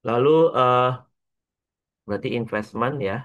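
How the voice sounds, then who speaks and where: A man with an Indonesian accent lecturing as a teacher, working through an example step by step in a halting, repetitive way with frequent checking tags. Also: medium pitch, fairly even intonation, a bit bright, dull audio. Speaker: accounting lecturer.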